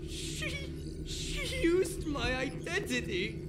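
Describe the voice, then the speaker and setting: A man's voice: very high-pitched, huge pitch swings, takes it slowly, heavy background noise, exaggerated voice. A man voicing an earnest, self-doubting young male investigator in a video game, hesitant when flustered. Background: loud game music.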